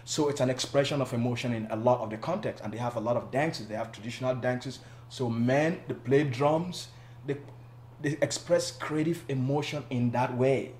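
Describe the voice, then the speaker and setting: A man's voice: medium pitch, darkish tone, a little hiss or hum. Thoughtful man from Cameroon, echoey room. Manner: measured, explanatory, with restarts. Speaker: panel guest. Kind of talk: casual panel discussion.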